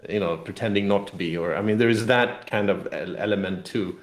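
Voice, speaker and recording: A man's voice: fairly low pitch, fairly quick. A man explaining things casually as an interview guest, with loose, rambling phrasing. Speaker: game designer and CEO, interview guest. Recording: echoey, roomy sound.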